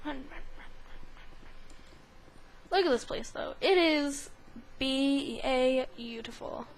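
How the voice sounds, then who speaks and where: A woman's voice: sits high, slow, rough recording. A woman giving casual, silly commentary while playing a video game, with sing-song asides. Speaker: gaming video host. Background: video game sound.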